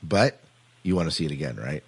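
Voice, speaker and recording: A man's voice: extremely low pitch, a little fast, vocal fry, husky. A man hosting a podcast, interviewing guests casually with jocular prodding. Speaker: podcast host.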